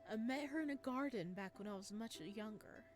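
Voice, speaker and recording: A woman voicing a soft-spoken, devoted male florist in a visual novel, in a soft, measured and tender manner, with game music behind. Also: fairly high pitch, close to an everyday voice. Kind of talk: reading a visual novel aloud.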